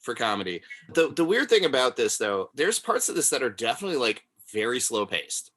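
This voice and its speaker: A man with a bright voice and very lively intonation co-hosts a podcast. He talks casually and animatedly about film and is easily amused. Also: mid-range voice, a little fast. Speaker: podcast co-host.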